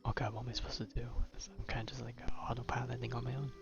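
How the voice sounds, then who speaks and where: A man streams a game in a casual, muttering voice with little melody. He talks quickly and pokes fun at himself, over game music on a slightly muffled microphone. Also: mid-range voice, level tone, gravelly. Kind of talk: casual livestream gameplay commentary.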